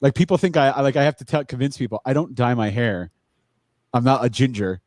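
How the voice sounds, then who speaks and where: Podcast guest: man, forty, mid pitch, dry close sound, chatty and encouraging. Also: fairly quick, a little mellow, no room sound. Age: 40s. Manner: chatty, encouraging, full of restarts. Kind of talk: casual podcast chat.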